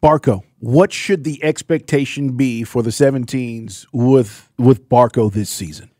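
A man's voice: medium pitch, a little slow, dark tone, no room sound, studio quality. A man co-hosting a sports radio show, asking questions in a casual, hesitant way with some playful asides. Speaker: radio co-host.

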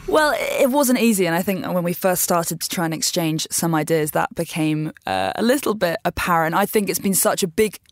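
A woman on a radio panel, chatting in a relaxed way with a few ums and kind-ofs. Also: lowish voice, normal speed, hardly any pauses, no room sound, clean studio sound. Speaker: radio presenter. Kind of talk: conversational radio chat.

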